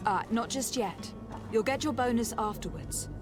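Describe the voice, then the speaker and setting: A woman's voice: a little high, expressive, moderate pace, close to an everyday voice. An actress playing a poised, commanding noblewoman diplomat in a video game, speaking formally and articulately. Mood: shrewd, persuasive. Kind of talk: scripted video game dialogue. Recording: music behind the voice.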